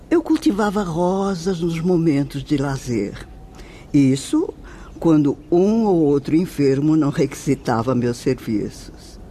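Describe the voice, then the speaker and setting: A gentle, devout spirit nun with a Brazilian accent and a soft mellow tone. She speaks slowly and maternally, with lilting, expressive pitch, in a radio soap style. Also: a little hiss or hum, exaggerated voice. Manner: slow, gentle, maternal, deliberate. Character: kindly, serene spirit nun of indefinable age, gently devout. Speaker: actor in a radio drama.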